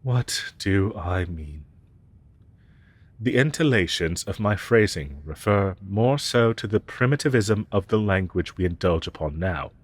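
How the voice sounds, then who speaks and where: A low male voice , acted by a man, for an ancient dragon who teaches human students in a fantasy audio roleplay. He is haughty and pompous, choosing archaic, formal words. His delivery is deliberate and full of disdain for lesser creatures. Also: lively intonation. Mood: condescending. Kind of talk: roleplay audio drama, scripted monologue.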